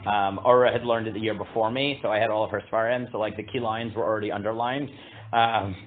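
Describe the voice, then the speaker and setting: A man teaching a casual Torah class, halting with many fillers, reading Hebrew text aloud and translating it. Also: steady tone, slight background noise. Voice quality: booming voice. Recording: tinny phone-like line.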